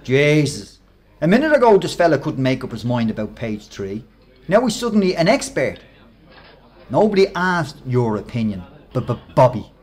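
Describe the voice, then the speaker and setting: An actor playing a bullying, mocking Irish workmate with a Dublin accent and a rough voice in a radio play. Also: male voice, medium pitch, sing-song, moderate pace, darkish tone. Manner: mocking, insinuating, aggressive. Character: bullying, sneering male workmate, quick to threaten.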